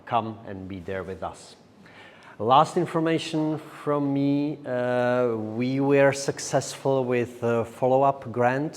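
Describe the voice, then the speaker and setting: A man giving a semi-formal conference presentation as a project speaker, with a halting, hesitant delivery. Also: medium pitch. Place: large echoey indoor hall. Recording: echoey hall with long reverb.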